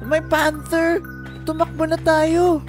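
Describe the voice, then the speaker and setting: Anxious, inquisitive high-voiced child. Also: female voice, slow, soft background music. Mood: panicked. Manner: questioning, excitable. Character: anxious, inquisitive lost child from a family outing. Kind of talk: animated children's fairy tale dubbing.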